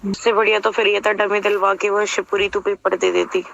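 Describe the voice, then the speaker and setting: A woman's voice: mid-range voice, level tone, brisk, gravelly, a bit bright. A woman in a casual private phone conversation, blunt and insistent, often pressing with questions. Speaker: caller. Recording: dull lo-fi recorded phone call.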